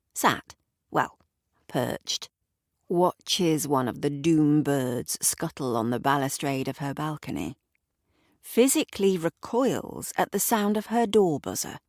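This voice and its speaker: A woman narrating a literary audio drama in a deliberate, dramatic storytelling manner. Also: low voice, dry recording, studio quality.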